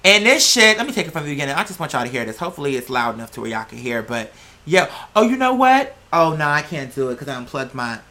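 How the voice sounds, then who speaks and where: Bright-voiced woman, blunt and exasperated. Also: deep voice, very animated, fast. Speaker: vlogger reacting to a video. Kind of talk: casual reaction commentary. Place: indoors at a computer. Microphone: plug-in computer microphone.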